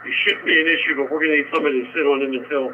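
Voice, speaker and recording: A man's voice: a little high, fairly even intonation, rapid-fire, narrow, tinny audio, slight background noise. A man working as a police officer, passing on instructions over a two-way radio scanner feed.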